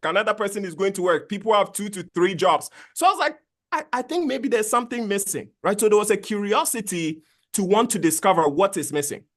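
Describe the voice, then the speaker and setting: Man from Ghana, high voice, patient plain-spoken money explainer on a video call. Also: fairly bright tone. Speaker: guest finance expert. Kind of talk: explaining investing in an interview.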